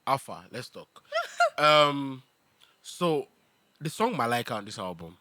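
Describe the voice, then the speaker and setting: A man with a Nigerian accent hosting a podcast in casual, expressive banter. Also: mid-range voice, slow pace, no echo. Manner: expressive, slips between English and Yoruba. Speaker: podcast host.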